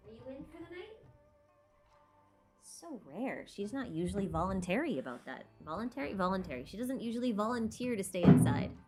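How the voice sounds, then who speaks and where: A woman's voice: mid-range voice, very animated, brisk, loud music behind the voice. A woman hosting a casual livestream Q&A, talking informally and hesitantly as she thinks aloud. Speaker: livestream host answering viewer questions. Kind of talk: casual livestream Q&A chat about game lore.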